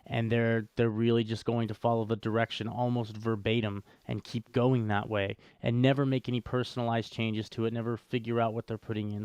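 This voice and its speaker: Smooth, clear-voiced man bantering. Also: mid-range voice, darkish tone, no echo. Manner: wry, joking. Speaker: co-host of a cryptocurrency show. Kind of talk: casual podcast banter and commentary.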